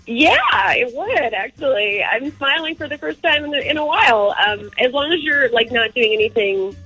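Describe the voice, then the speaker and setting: A woman's voice: high-pitched, lively intonation, moderate pace, pushed and bright, breathy edge, rough recording. A young adult woman caller telling a personal story casually, peppering it with "like". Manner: conversational, frequent "like".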